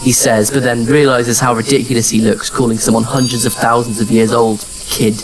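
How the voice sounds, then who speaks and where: A man's voice: mid-range voice, flat delivery, fairly quick, crowd noise, natural voice, rough recording. An actor playing a wry, patient adventurer who narrates his own story in an audio drama. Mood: wry narration. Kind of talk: scripted first-person audio drama narration and dialogue. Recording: music under the voice. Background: music.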